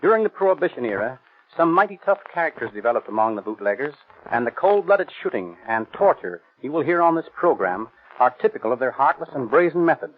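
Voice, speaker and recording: A man's voice: mid-range voice, very animated, fast talker. An American man speaking as a police captain, giving a stern, stiffly read formal radio address. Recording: muffled noisy 1930s radio recording.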